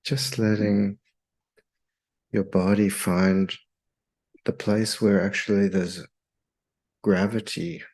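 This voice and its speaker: A man leading a guided meditation in a soothing, hypnotic, measured way with long pauses. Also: sits low, a little mellow, slightly rough.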